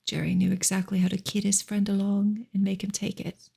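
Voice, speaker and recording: Woman doing soft asmr book reading, super breathy, loads of vocal fry, hushed n half whispered. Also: medium pitch, completely flat delivery, a little fast. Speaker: ASMR reader.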